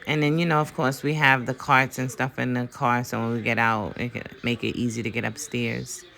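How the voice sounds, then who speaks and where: A woman casually narrating her own shopping video in a relaxed, chatty way, with slang and fillers. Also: extremely low pitch, brisk, creaky. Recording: voice-over under loud music.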